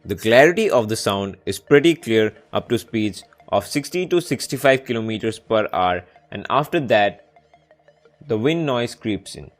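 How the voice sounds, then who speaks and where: A man's voice: lowish voice, lively intonation, moderate pace. A man presenting a scripted product review as a video reviewer, in narration style. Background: background music.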